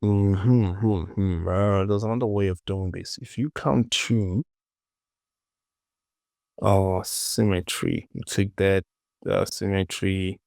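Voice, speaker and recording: A man giving a casual software tutorial, thinking aloud and muttering short clipped phrases to himself as he works. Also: deep voice, fairly even intonation, takes it slowly, creaky, no room sound, clean studio sound. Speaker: 3D modeling tutorial presenter.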